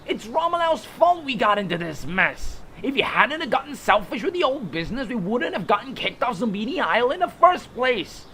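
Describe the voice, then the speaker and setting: A man's voice: very high-pitched, sing-song, normal speed, hardly any pauses, pushed and bright, slight background noise, heavily acted. A man playing a resentful, whiny fantasy creature in an audio drama, griping and blustering as a would-be mutineer. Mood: indignant.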